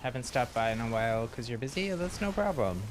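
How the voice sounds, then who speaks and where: A man's voice: mid-range voice, lively intonation, fast talker, a little hiss or hum. A man streaming a game, chatting casually with viewers, friendly and chatty with frequent ums and laughs. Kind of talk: casual chat while gaming on stream.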